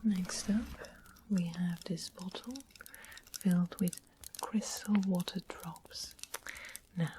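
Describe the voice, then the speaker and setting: A woman playing a sweet-seeming witch who makes potions, whispering gently as she explains things in a fantasy ASMR roleplay. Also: lowish voice, fairly even intonation, slow pace, long pauses. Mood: gentle, hushed. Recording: close whisper with clear background hiss.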